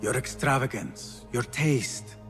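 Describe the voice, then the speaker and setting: An actor playing a composed, guarded young thief posing as a trader in a video game, polite. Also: male voice, mid-range voice, slow, over music, natural voice. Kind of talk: cinematic video game dialogue.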